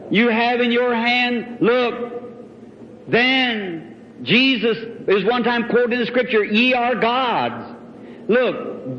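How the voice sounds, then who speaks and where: A man preaches a revival sermon as a Pentecostal preacher, in a folksy, exhorting, exclamatory manner. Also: very high-pitched. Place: large hall, speaking from a platform. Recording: dull, noisy old hall recording, long reverb.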